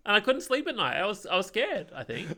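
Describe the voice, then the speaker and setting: A young man co-hosting a podcast, chatting and telling stories informally, haltingly, often checking in with a questioning tag. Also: high-pitched, huge pitch swings, sharp tone. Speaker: podcast co-host. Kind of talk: casual chat, storytelling.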